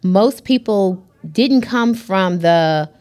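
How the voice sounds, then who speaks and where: A woman's voice: medium pitch, expressive, slow pace, darkish tone. A woman hosting a podcast, introducing her guest with enthusiasm and backing her up with frequent murmurs of agreement. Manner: enthusiastic, affirming with frequent mm-hmms. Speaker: podcast host. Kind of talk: casual interview hosting.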